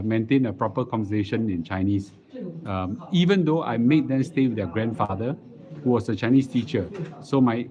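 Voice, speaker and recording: A middle-aged man with a Singaporean accent chatting casually as a livestream host, halting and repeating words as he goes. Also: medium pitch. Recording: dull lo-fi live stream audio.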